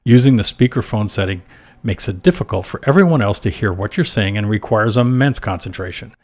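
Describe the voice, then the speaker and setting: A man with a fairly low voice narrates scripted advice as a podcast host. His delivery is measured and instructional, laying out each point in order. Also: expressive, a little mellow.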